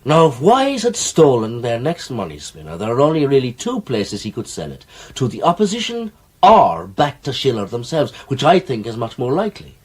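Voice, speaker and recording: British male, reassuring, matter-of-fact, husky, expressive intonation, radio drama. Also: medium pitch, normal speed. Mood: reasoning. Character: calm, reassuring, reasoning male amateur investigator friend.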